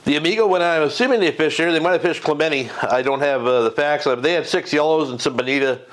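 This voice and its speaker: A man's voice: fairly high pitch, fast talker, fairly bright tone, poor audio. A middle-aged man hosting a fishing report from his garage, talking off the cuff with lots of fillers and restarts into a lapel mic. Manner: rambling, many fillers and self-corrections. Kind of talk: casual unscripted fishing report. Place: home garage, in front of a green screen.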